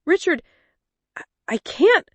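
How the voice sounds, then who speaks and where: A woman narrator voicing a weary, weakening wife who pleads haltingly in a dramatic story. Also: no echo, natural voice. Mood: breathless, frightened. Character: weary young wife, weakening and short of breath.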